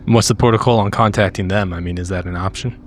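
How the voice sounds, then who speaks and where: A man's voice: low-pitched, steady tone, quick pace, slight background noise, lightly acted. A man playing a blunt, practical manhunter agent in a tabletop roleplay, plain-spoken and dry. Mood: dry, questioning. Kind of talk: improvised tabletop roleplay dialogue.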